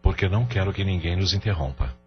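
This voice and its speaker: A Brazilian actor playing a grieving, burdened father, gentle but evasive, in an audio drama. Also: sits low, fast, lightly acted. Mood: grave. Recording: dull lo-fi audio under music.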